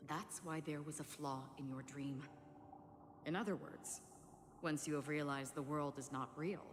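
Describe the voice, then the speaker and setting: Casting: actress as grave, measured woman guide for a fantasy game, low voice in long reverb. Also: fairly even intonation, noisy background, lightly acted. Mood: solemn. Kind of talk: scripted video game voice acting. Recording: reverberant game audio over music.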